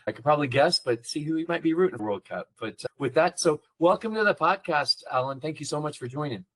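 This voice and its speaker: A man's voice: fairly high pitch, expressive, quick pace, a bit bright. A man hosting a podcast, talking casually and eagerly, open about being boastful. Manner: enthusiastic, self-deprecating promoter. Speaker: podcast host.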